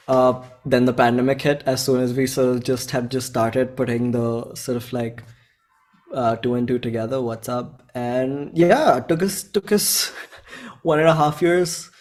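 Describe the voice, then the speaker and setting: A young man with an Indian accent and a medium-pitched voice chats in an interview. He rambles informally, sprinkles in slang and often voices his own thoughts as little quotes. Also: darkish tone. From Bhopal, India. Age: 20s. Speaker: indie musician and songwriter being interviewed. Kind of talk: casual interview chat.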